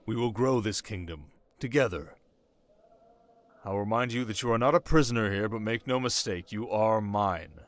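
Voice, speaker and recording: A man playing a young, sharp, commanding desert king in an ASMR roleplay, speaking in a measured, teasing, possessive way with dramatic pauses. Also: on the low side, close to an everyday voice. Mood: visionary, intense. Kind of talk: scripted ASMR roleplay addressed to the listener.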